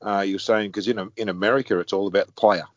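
Blunt head ref, deep voice, dry muffled sound. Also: male voice, fairly even intonation, quick pace, dry recording. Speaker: head referee. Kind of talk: casual conversation.

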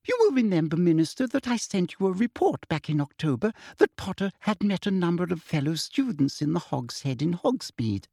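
A man's voice: sits high, big pitch swings, fairly quick, vocal fry, hardly any pauses, dark tone, husky, dry recording, studio quality, voice pushed up, exaggerated voice. A man voicing a prim, smug middle-aged woman official with a sickly sweet manner. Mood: sugary smug.

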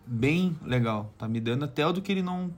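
A man's voice: fairly high pitch, expressive, brisk, faint music behind. A man from Brazil with a southern Brazilian accent, chatting casually and slangily, swearing now and then and acting out what people said. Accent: southern Brazilian Portuguese. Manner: slangy, swearing, acts out quoted remarks. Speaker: barber living abroad as an immigrant. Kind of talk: casual conversational anecdotes.

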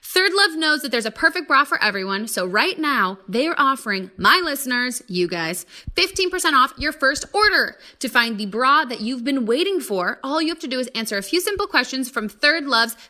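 A woman hosting a podcast in a playful, conversational way. Her voice is bright and fairly high. Her pitch moves around a great deal as she chats informally and slips in casual asides. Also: sing-song, normal speed. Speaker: podcast host. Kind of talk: casual chatty podcast talk and host-read ad.

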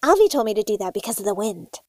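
A woman's voice: medium pitch, very animated, fast, a little mellow, no echo, clean studio sound. A woman in a comedic audio sketch, talking in a bubbly, cheerfully oblivious way. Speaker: cast member.

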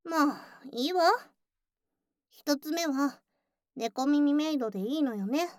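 A voice actress playing a curt, teasing young childhood friend who works as a cafe maid, in an ASMR audio drama. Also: female voice, sits high, animated, slow, dry recording, studio quality. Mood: teasing, flustered. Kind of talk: Japanese ASMR situation voice drama.

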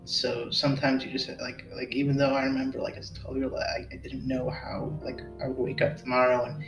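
A man in his twenties, a podcast host, telling a personal story in a halting way full of fillers and restarts, then chatting casually. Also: mid-range voice, monotone, distant mic. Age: 20s. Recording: roomy and off-mic with music behind.

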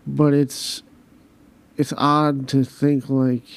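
A man hosting a podcast, talking personally and reflectively, hesitant and halting with frequent restarts. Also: mid-range voice, flat delivery, creaky, clean tone. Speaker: podcast host. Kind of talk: personal conversation.

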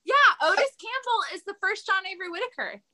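A woman's voice: very high-pitched, animated, fast, crowd noise. A woman in a casual podcast chat, eager to jump in and prone to wander off on tangents.

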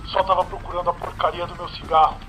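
An irritable man played by an actor grumbles curtly and quickly, his voice somewhat high. It is an audio drama scene under very loud radio and vehicle noise. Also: little pitch movement, close to an everyday voice. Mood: irritated. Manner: curt, grumbling.

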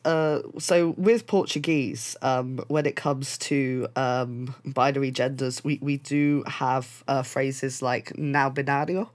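A man in casual conversation, chatty and quick to laugh, with many fillers and restarts. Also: medium pitch, fairly even intonation. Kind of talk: casual chat.

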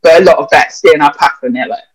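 A man's voice: on the high side, very fast, breathy edge, rough recording. A young man, a vet, chatting casually on a podcast and rambling through sweary anecdotes full of fillers.

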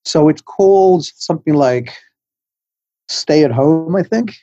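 A middle-aged Englishman speaks as a podcast guest, rambling and self-deprecating, with plenty of ums along the way. His voice sits a little high but sounds mellow and soft. The line is dry and dull, like a lo-fi remote call. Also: a little slow, no room sound. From England. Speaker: guest, food writer.

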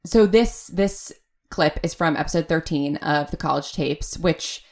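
A woman talking casually and thoughtfully as an interview guest, in a halting way with plenty of filler phrases. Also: sits low, darkish tone. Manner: halting, thoughtful, frequent "like" and "sort of". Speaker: audio drama writer, podcast guest.

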